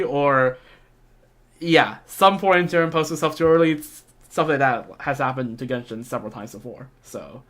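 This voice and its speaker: A video game streamer talks casually to viewers in a mid-pitched voice. The delivery is informal fan commentary with gamer slang. Music plays clearly behind the voice. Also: fairly bright tone. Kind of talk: casual livestream chat mixed with reading game dialogue aloud.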